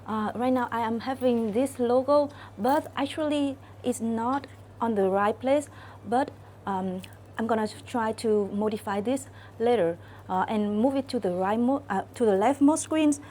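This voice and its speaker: Young woman, mellow tone. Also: on the high side, a little hiss or hum. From Vietnam. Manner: careful, halting delivery with frequent "uh" and "um" fillers. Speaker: student intern presenting an app. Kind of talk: screen-recorded app demo walkthrough.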